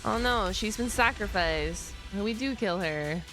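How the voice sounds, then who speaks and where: A woman's voice: medium pitch, lively intonation, a little slow, fairly bright tone, rough recording. A woman game streamer giving casual, rambling live reaction commentary with slang and swearing. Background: game music.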